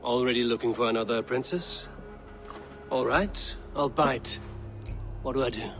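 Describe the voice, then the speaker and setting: A man's voice: mid-range voice, moderate pace, fairly bright tone, lightly acted. A proud Viking warrior, played by a man, speaks bluntly in a mid-range voice with a fiery edge. Faint music plays under the game cutscene. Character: fierce proud male Viking warrior hero. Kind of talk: video game cutscene acting.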